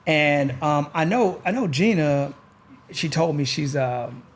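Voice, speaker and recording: A man hosting a podcast, talking in a relaxed, rambling way with lots of pauses and fillers. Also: on the high side, slight background noise. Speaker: podcast host. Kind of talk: casual chat.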